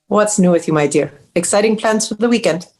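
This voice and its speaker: A woman's voice: mid-range voice, brisk, a little mellow, natural voice. A woman acting a domineering older mother at a script read, pointed and sweetly cutting. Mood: gracious. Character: sharp-tongued, passive-aggressive older mother, domineering. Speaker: actor in a script table read. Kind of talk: table read of a screenplay.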